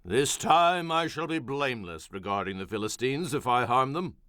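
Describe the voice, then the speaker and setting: A man playing a strong, wrathful biblical hero in a radio-style dramatization, speaking in a forceful, declamatory way. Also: medium pitch, expressive, normal speed, no echo, clean studio sound. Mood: determined.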